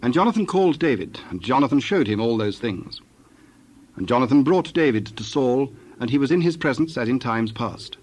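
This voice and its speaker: Medium-pitched male Bible narrator. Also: animated, a little mellow. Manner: measured, formal storytelling.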